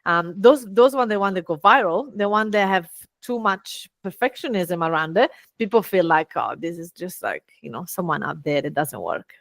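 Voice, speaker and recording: A middle-aged woman with an Italian accent, a guest telling lively stories and acting out quoted dialogue. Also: normal speed, gravelly, dry recording. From Italy. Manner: lively, acts out quoted dialogue with big pitch jumps. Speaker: guest, VA agency founder. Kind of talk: animated storytelling in conversation.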